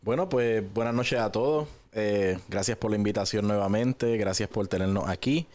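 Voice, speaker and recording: Low-pitched Puerto Rican man, conversational. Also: moderate pace, a little mellow. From Puerto Rico. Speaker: guest giving a personal testimony. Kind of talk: relaxed conversational storytelling.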